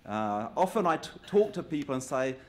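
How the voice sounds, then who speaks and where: A man's voice: mid-range voice, very animated, a little fast, a little hiss or hum. A man from New Zealand with a New Zealand accent gives a public talk in a conversational, good-humoured way, with light self-deprecating asides. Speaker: guest speaker.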